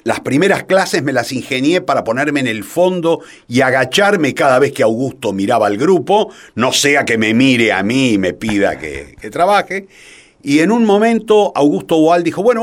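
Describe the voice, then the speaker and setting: Animated older Argentine man telling stories. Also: mid-range voice, normal speed. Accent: Rioplatense Argentine. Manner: animated, self-deprecating, hesitant digressions. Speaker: interview guest, theatre playwright. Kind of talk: casual anecdotal storytelling.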